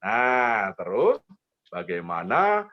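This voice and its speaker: Playful male storyteller, widely swinging pitch. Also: wildly swooping pitch, very slow, lo-fi sound. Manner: playful, repeats phrases, asks questions. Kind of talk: interactive children's storytelling.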